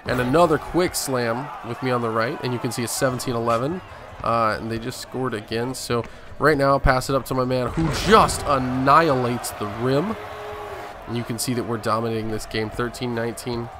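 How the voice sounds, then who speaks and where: A man hosts a gaming video with loose, casual play-by-play. He jokes and swears now and then. Clear background noise and game sound sit behind his voice. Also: mid-range voice, fairly quick. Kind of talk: casual gameplay commentary. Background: video game audio.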